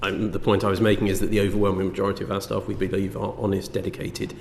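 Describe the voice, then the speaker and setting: A man's voice: low-pitched, little pitch movement, very fast, creaky. A man, a government minister, giving evidence in a courteous, cautious, deferential way. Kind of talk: formal evidence to a committee. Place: parliamentary committee room. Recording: echoey hall with faint noise.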